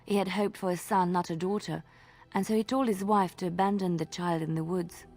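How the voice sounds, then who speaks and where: An actress playing a gentle, earnest bard in a fantasy video game, telling tales in a measured, lyrical way. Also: female voice, fairly low pitch, fairly even intonation, faint music behind. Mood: earnest storytelling. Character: Orlesian bard woman, storyteller, gentle and earnest.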